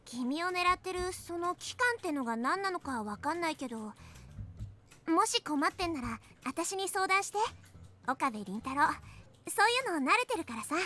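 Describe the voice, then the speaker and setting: A woman's voice: extremely high pitch, big pitch swings, fast talker, bright, forceful tone, soft background music, full character voice. An actress playing a frank, intense eighteen-year-old girl in a visual novel, with a direct, casual manner. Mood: earnest, frank. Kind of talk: Japanese visual novel acting.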